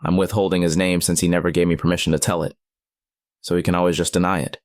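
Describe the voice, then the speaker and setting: A man's voice: deep voice, little pitch movement, quick pace, a little mellow, no room sound, clean studio sound, natural voice. An actor playing a man who narrates an eerie first-person account about his programmer friend in an audio drama. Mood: confiding. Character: male storyteller recounting his programmer friend's eerie tale. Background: music.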